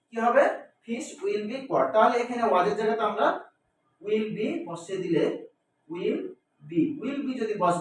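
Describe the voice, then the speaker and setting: Really echoey far-off mic, bengali guy teaching grammar, repeats stuff and asks questions he answers himself. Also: very high voice. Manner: didactic, repeats phrases and spells words out, asks and answers own questions. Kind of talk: classroom-style grammar lesson. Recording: echoey room, distant mic, faint noise.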